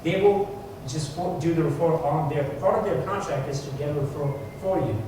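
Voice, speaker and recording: A man's voice: a little high, a little fast. A man from India, an insurance representative giving an earnest, explanatory seminar talk to students. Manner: earnest, explanatory, repeats points with "you know". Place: large echoey meeting room. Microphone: distant room mic. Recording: echoey distant room recording with noise.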